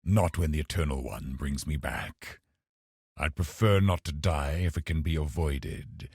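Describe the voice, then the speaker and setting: An actor playing a scheming, pious villain in a video game, a cold religious officer who speaks in a measured, haughty, husky voice. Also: male voice, extremely low pitch, a little mellow, no room sound, clean studio sound, exaggerated voice. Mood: scheming, bargaining. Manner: measured, haughty, calculating, formal. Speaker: game boss character. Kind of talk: dramatic video game voice acting.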